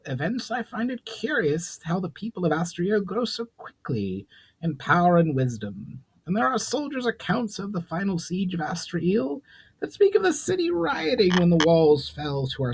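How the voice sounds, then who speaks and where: A man's voice: sits high, husky, pitched above the performer's own voice, exaggerated voice, poor audio. A man voicing a thin, curious goblin mage with a formal, scholarly manner in a fantasy story.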